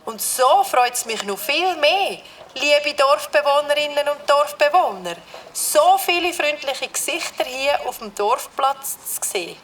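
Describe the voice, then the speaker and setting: Brief: a mayor addressing a crowd, high, formal. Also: female voice, animated, measured pace, a bit bright, slight background noise, poor audio. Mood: solemn. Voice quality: formal speech-making tone. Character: female village mayor giving a speech.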